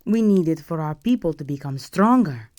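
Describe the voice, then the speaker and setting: A woman's voice: low-pitched, animated, moderate pace, warm tone, no room sound. A woman playing a regal, possessive vampire countess in an intimate audio roleplay, speaking to the listener in a formal, imperious and seductive manner. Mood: commanding, persuasive.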